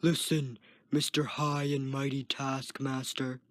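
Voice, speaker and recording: A man's voice: slow pace, dry recording. A man reading a story as a smug, uncaring prison guard with a sarcastic, dismissive manner. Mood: quietly sarcastic. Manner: sarcastic, dismissive, low growled delivery.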